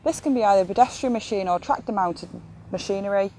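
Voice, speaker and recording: A woman's voice: mid-range voice, fast talker, warm tone. A woman giving scripted instructional narration as an instructor in an educational film.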